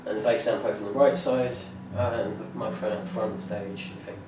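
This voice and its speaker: A young man speaks in a halting, casual way, stopping often to restart his sentences. His fairly low voice sits far from the microphone in a very echoey room. Clear background noise runs under the whole recording. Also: little pitch movement. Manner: halting, many fillers and restarts. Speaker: music student presenting a performance plan. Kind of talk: informal spoken presentation.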